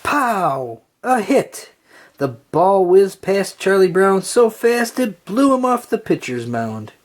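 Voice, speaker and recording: A narrator reading a children's storybook aloud in a deliberate, expressive storytelling manner. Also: medium pitch.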